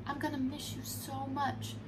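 A woman voicing an excitable, tender-hearted young girl squirrel in a children's storybook, with emphatic delivery. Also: high voice, normal speed, recorded from a distance, background noise, pitched above the performer's own voice, lightly acted. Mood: sad. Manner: emphatic, exclaiming.

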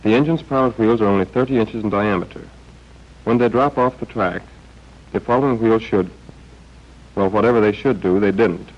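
A man's voice: lowish voice, moderate pace, pauses often. An American man narrating a scripted 1940s training film in a wry, understated way, on an old film soundtrack. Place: voice-over recorded for a film. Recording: dull, band-limited old film soundtrack.

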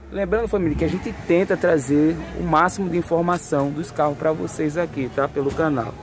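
A man's voice: fairly high pitch, background noise. A man filming a walkaround of cars for sale, upbeat and full of repeated catchphrases, recorded on a phone. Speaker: car fair vlogger. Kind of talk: hyped sales walkaround. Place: outdoor car lot. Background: crowd chatter at a car fair.

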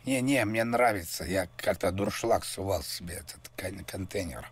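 A man making a rambling prank call, mock-serious and crude, with a husky voice. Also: low voice, normal speed, slight background noise. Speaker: prank caller.

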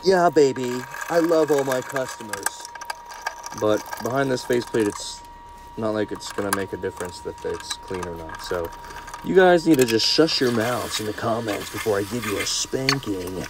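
A man's voice: lowish voice, expressive, normal speed. A young man working as a plumber, chatting casually and teasing his viewers while filming himself on a job inside a house. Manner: playful, teasing, calls viewers "baby". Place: basement and tiled bathroom in a house, indoors. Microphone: phone held or worn, first-person view. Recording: noisy job-site recording.

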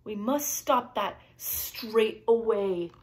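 A woman's voice: medium pitch, extremely slow. A woman voicing a cross, bossy old male gnome in a storybook, stern and commanding, drawing out the words.

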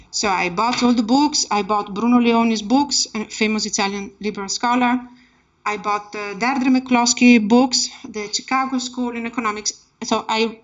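A woman giving an academic keynote talk as personal storytelling, with an emphatic, impassioned delivery. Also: fairly high pitch. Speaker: keynote speaker, academic researcher. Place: indoor seminar room, speaking at a lectern. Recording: dull, muffled lecture-room recording.

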